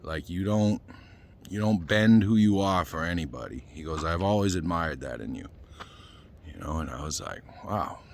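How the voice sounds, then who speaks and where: A man's voice: low voice, expressive, moderate pace, creaky, a little hiss or hum. A man in his fifties from Boston with a Boston accent, rambling casually in a vlog and leaning on "you know" fillers, a little winded after a run. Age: 50s. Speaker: vlogger. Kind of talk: casual talking-head vlog.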